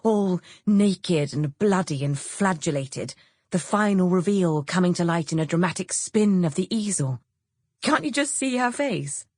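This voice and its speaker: A woman's voice: on the low side, expressive, a little slow, darkish tone, no echo, pitched above the performer's own voice. A woman voicing a witty, mischievous bohemian female friend in an audiobook, with a dry, teasing, theatrical drawl. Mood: scheming, delighted. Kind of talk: audiobook character dialogue.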